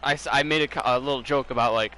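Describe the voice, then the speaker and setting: A man's voice: medium pitch, very fast, slight background noise. A man streaming a baseball video game, chatting casually and laughing as he teases a friend. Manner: laughing, teasing, animated. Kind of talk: casual chat.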